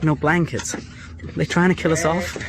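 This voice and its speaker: An actor playing a worried young son in prison in a radio drama, speaking earnestly and somberly. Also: male voice, fairly high pitch, level tone, moderate pace, lightly acted. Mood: worried, pleading. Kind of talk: radio drama acting with letter narration. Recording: clear ambient noise behind the voice.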